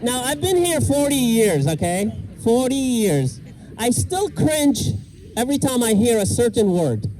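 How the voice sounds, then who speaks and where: A middle-aged man from Iraq doing a self-deprecating stand-up set with deadpan punchlines, outdoors in a park. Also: extremely high pitch, a little slow, a faint crowd, rough recording. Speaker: stand-up comedian. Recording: outdoor noise and passing traffic. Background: park ambience and vehicles.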